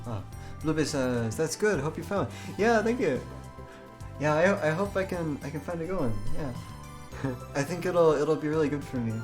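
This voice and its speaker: A man's voice: fairly high pitch, lively intonation, normal speed. Hesitant man streaming, music behind him. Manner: hesitant, stammering with repeated words. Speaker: live streamer reading a visual novel aloud. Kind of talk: casual live stream chat and read-aloud.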